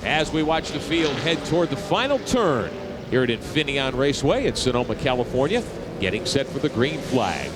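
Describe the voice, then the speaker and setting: A man calling a sports car race as lead announcer, brisk and handing off to his reporters. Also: mid-range voice, over music. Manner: lively, brisk, hands off to reporters. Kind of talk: live play-by-play commentary.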